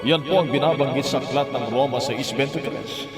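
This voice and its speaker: A man's voice: mid-range voice, normal speed, close to an everyday voice. A man as an earnest preacher delivering a solemn, emphatic sermon of warning and salvation. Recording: echoey hall reverb, loud music behind.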